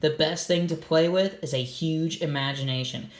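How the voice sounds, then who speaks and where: A man's voice: on the high side, normal speed, echoey room. A man reading a children's storybook aloud, adding simple, encouraging comments for young listeners. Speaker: read-aloud host for children.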